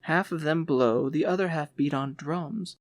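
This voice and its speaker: A man's voice: a little high, measured pace, dry recording, lightly acted. A man voicing an eager, friendly young woman in an audiobook, with a chatty, enthusiastic manner. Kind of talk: audiobook character voice.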